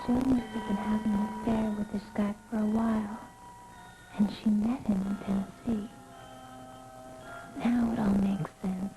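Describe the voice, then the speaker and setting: An actress voicing a scheming woman who mutters her thoughts in half-whispers. Her voice has an average pitch, and clear background music runs under her on an old television soundtrack. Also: little pitch movement, moderate pace, long pauses, lightly acted, rough recording. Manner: murmured, half-whispered asides. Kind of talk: 1980s daytime soap acting.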